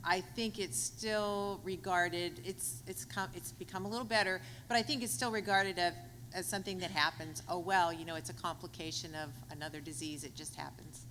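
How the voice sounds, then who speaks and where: A woman panelist giving a measured, deliberate answer at a conference. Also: lowish voice, steady tone, a bit bright. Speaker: hospital panelist. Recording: echoey hall, faint noise.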